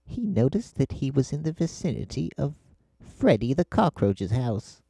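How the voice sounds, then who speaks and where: A man's voice: mid-range voice, big pitch swings, moderate pace, gravelly, warm tone, husky. A man with a British accent, an author reading his own story as scripted narration in a children's-tale style. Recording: music behind the voice.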